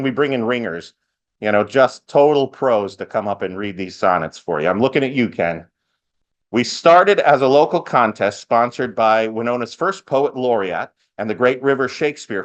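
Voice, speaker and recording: A man speaking as host of a live event, giving a part-scripted welcome speech with lightly joking asides. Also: mid-range voice. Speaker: event host, master of ceremonies.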